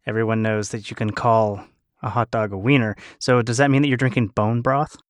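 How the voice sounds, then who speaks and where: Man, casual panel chat. Mid-pitched, jokey, speculative and rambling, dry sound. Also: flat delivery, brisk, no echo. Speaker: podcast panel member, cast of an audio drama.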